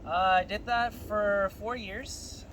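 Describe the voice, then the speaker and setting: A man from California whose first language is Spanish, telling his life story in a relaxed, casual chat and laughing often. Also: high-pitched, slow, slight background noise.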